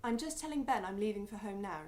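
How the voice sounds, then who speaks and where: A young British actress playing a brisk, practical grown-up daughter, touchy but dutiful, in a radio play. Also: female voice, medium pitch, lively intonation, a little mellow, close to an everyday voice. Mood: matter-of-fact. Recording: echoey room, faint noise.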